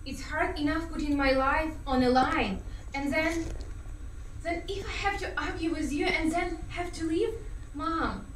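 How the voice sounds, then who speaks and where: A woman's voice: high voice, unhurried. An actress playing a passionate young woman about to go to war, speaking in an impassioned, pleading way. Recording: roomy, distant mic, faint hiss.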